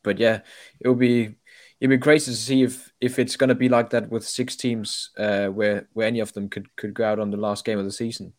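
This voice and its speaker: A man's voice: fairly low pitch, little pitch movement, fairly quick, darkish tone, dry recording. A young man chatting casually as a panelist on a podcast. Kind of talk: casual chat.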